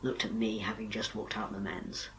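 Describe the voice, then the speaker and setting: A young man, talking casually to camera in a soft, quiet, breathy voice with little power behind it. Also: fairly low pitch, flat delivery, normal speed, a little hiss or hum, rough recording. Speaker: vlogger.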